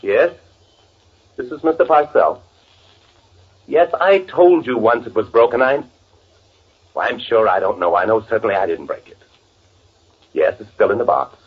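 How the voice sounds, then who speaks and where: An actor playing a timid, fussy, evasive twin brother with a nervous stammer in an old-time radio drama. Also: male voice, mid-range voice, expressive, normal speed, long pauses, slightly rough, phone-quality sound. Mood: flustered, peevish. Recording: band-limited old radio drama recording.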